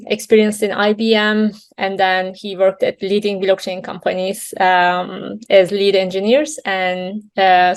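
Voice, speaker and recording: A woman hosting an interview, friendly and chatty, with many fillers. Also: medium pitch. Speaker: interview host. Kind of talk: casual interview.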